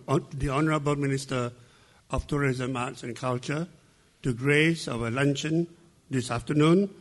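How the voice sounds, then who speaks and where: An older man with a Malaysian accent gives a formal welcome address in a measured, deliberate way at a podium microphone. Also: medium pitch, fairly bright tone. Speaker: event host giving welcome speech. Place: indoor conference ballroom.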